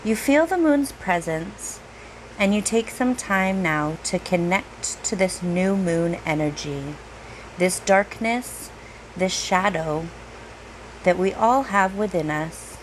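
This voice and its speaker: A woman speaks as a meditation guide, very slowly and gently. She leaves long pauses between unhurried phrases. A light, steady hum like air conditioning sits behind her mid-pitched voice. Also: low-quality recording. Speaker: meditation guide, reiki teacher. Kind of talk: guided meditation, slow soothing narration.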